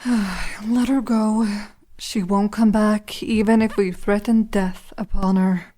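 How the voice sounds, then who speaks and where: A woman playing a tender, worried partner of a pack leader in a fantasy audio drama, gentle and formal. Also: medium pitch, fairly even intonation, slow, close to an everyday voice. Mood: resigned.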